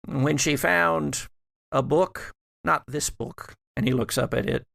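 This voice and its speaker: Hesitant game master with heavy gravelly fry. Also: male voice, a little high, a bit bright, no room sound, clean studio sound. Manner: halting, deliberate. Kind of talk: improvised in-character tabletop roleplay.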